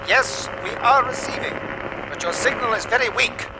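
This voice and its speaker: An actor playing a formal, polite mission control operator, measured in his radio procedure, heard over a distant radio link in a 1950s drama. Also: very high voice. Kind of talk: 1950s radio science fiction acting. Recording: noisy, distant vintage radio sound.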